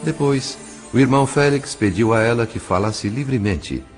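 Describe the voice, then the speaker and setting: A man reading scripted narration for an audio novel in a formal, literary, measured manner. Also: medium pitch. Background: faint music.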